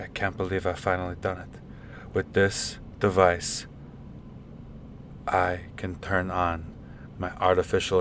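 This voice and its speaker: A man plays a proud but bumbling scientist in a sci-fi radio comedy. The voice is deep and male, halting and stammering, over faint rumbling noise. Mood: proud, weary. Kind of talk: comedic radio theatre acting.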